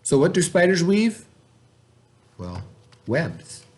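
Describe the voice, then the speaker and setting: A male teacher with a moderately high voice reads and explains for children. His delivery is informal and direct, in the manner of classroom storytelling and review. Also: sing-song, slow pace. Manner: informal, questioning, talks directly to pupils. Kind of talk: children's read-aloud and lesson.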